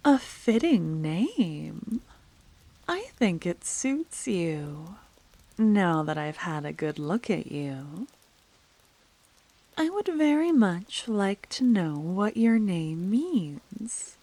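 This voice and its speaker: A mysterious fortune teller woman, played by a woman in an ASMR roleplay, draws the listener in with slow, hushed words. Her voice sits a little low and sounds mellow and warm. She teases and flirts in soft whispers. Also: big pitch swings, dry recording, heavily acted. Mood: flirtatious. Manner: teasing, soft whispered delivery.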